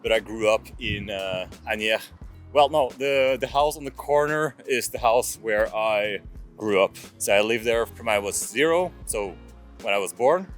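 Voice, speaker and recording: A man in his thirties from France, narrating a travel vlog in a casual, halting way. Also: on the low side, soft background music. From France, moved to Norway at six. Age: 30s. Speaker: vlogger.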